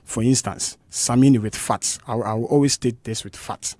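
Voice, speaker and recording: A man's voice: mid-range voice, fairly even intonation, normal speed, darkish tone, no echo. A man with a Ghanaian English accent speaks into a studio microphone as a musician guest on a radio show. He talks with passion and emphasis and drives his points home by repeating them. His voice sits in the middle range, and the booth sounds dry and close.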